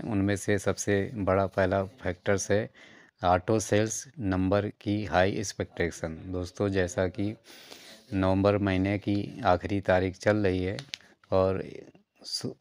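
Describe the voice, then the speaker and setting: A man's voice: low voice, darkish tone, clear, resonant tone. A man narrating a stock market news vlog over slides, going through numbered reasons and calling the viewers friends.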